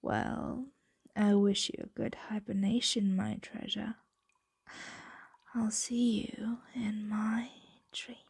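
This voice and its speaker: A possessive, tender dragon voiced by a woman murmurs in an intimate ASMR roleplay. The delivery is very slow and coaxing, often sinking to a whisper. The tone is dark and velvety, with a creaky edge, caught close on the mic. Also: medium pitch, level tone, no echo, heavily acted. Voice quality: breathy, soft, often whispering. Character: possessive, tender dragon hoarding a human pet. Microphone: close microphone.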